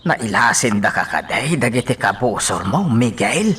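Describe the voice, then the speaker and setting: A man's voice: medium pitch, very animated, measured pace, a bit bright, a little hiss or hum. A man acting a concerned, cautioning village elder in an Ilocano radio drama.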